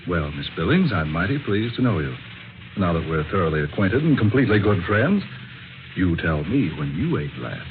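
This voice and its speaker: A breezy down-on-his-luck fellow from a vintage radio play, with a deep voice that swings freely up and down. He talks in friendly, folksy slang and wisecracks. The old recording is muffled and plainly noisy. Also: sing-song, normal speed. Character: broke, jobless, friendly wisecracking man sleeping on park bench. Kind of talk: 1940s radio drama acting.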